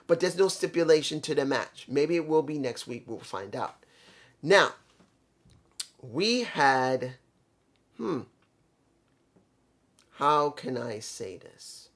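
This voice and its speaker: Man, fairly high voice, casual show reviewer, rambling, self-correcting, trips over names. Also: a bit bright. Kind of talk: casual solo review talk.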